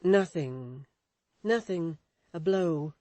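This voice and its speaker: A reader voicing a troubled mother who speaks slowly and haltingly, tender but guarded. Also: medium pitch, a little mellow, no echo, natural voice. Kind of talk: audiobook reading.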